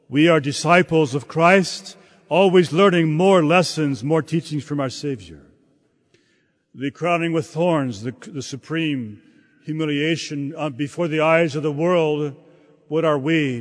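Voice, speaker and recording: A man preaching a sermon in a slow, halting delivery, often repeating words as he searches for the next phrase. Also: on the high side. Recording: long hall reverb.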